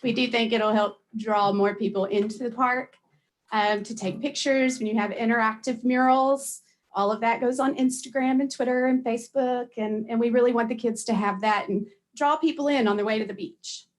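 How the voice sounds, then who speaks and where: A woman speaking as a community representative at a local government meeting, making a semi-formal request with hesitant pauses. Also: fairly high pitch, fairly even intonation. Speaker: community group representative addressing a county meeting. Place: county meeting room.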